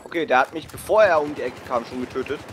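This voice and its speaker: A man's voice: medium pitch, fast. A man chatting casually and in slang while playing a video game with a friend. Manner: colloquial, slangy. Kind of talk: casual gaming chat with a co-player. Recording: faint game noise behind voice. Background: video game sounds.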